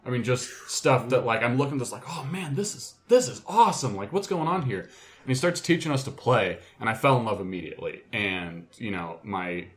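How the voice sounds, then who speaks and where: A man's voice: medium pitch, animated, fairly quick. A man with a mid-range voice co-hosts a podcast. He talks casually and conversationally, telling stories as if among friends. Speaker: podcast co-host. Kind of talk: casual chat, storytelling.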